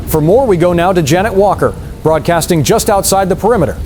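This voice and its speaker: A fairly high male voice of an actor plays a solemn emergency news anchor. He delivers a formal, measured broadcast, with faint rumbling noise underneath. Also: animated, a little mellow. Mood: grave, composed.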